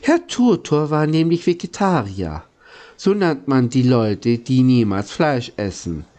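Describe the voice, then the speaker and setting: A man's voice: mid-range voice, sing-song, measured pace, darkish tone. A man with a sniffly head cold, reading a children's story aloud and now and then stumbling over words. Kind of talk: slow, informal audiobook-style reading.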